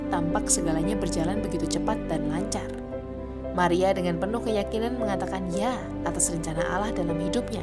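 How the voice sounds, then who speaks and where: A woman reading a scripted devotional reflection in a calm, gentle, earnest way. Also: mid-range voice. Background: music.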